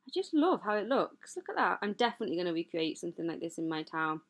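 A woman's voice: on the low side, sing-song, fast talker. A woman hosting a gaming channel, chatting casually and gushing over a building as she tours it, rambling with self-deprecating asides. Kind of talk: casual game tour commentary. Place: voice-over over gameplay footage.

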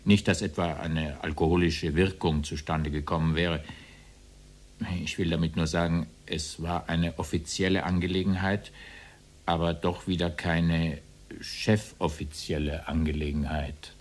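Very deep-voiced, evasive defendant. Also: male voice, level tone, a little slow. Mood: explaining, careful. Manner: formal, circumlocutory, deferential. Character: evasive, deferential former SS officer testifying at trial. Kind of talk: radio play courtroom dialogue.

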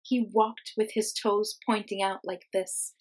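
A young woman, a teacher reading a children's storybook aloud, expressive and explaining things simply for young listeners. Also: mid-range voice, a little slow, breathy edge. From United States. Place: small room at home, indoors.

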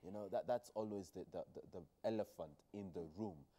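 A man in his thirties with a Kenyan accent, interviewing a guest on television in measured, conversational phrases. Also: sits low, mellow. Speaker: TV interviewer, host. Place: TV studio, seated on a sofa. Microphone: headset or clip-on mic.

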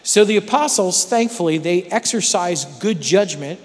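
High-voiced man teaching in a church hall. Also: lively intonation, normal speed. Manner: conversational, often checks in with "right?". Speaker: Bible class teacher. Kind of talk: Bible study lecture. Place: large church sanctuary with seated class.

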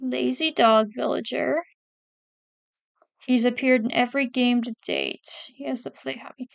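A woman's voice: on the high side, sing-song, a little slow, gravelly, no room sound. A woman casually talking through a ranking of game characters, hesitant and self-correcting as she reads out wiki quotes. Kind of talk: casual commentary while reading a wiki.

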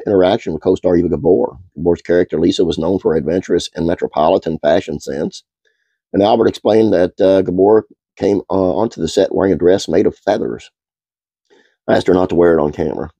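Deep gravelly congested man. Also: dry recording. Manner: relaxed, halting with frequent uhs. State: congested, stuffed-up nose. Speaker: host. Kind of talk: casual trivia narration.

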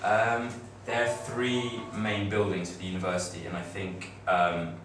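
Young man, deep flat voice, echoey room. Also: unhurried, music in the background. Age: young adult. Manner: chatty, loose, many fillers and restarts. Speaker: student on a study-abroad panel. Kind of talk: casual informal talk to students. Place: classroom with projector screen. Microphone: far camera. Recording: echoey room, distant mic, noisy.

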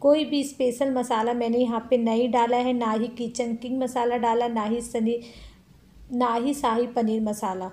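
A woman's voice: fairly high pitch, flat delivery, unhurried, mellow. A woman hosting a cooking channel, chatting step by step through a recipe in a home kitchen and calling her viewers friends.

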